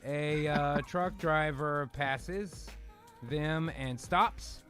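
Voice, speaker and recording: A man's voice: fairly high pitch, very slow, a bit bright. A man hosting and narrating an improvised radio comedy, mixing casual joking direction with scene-setting. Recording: faint music under the voice.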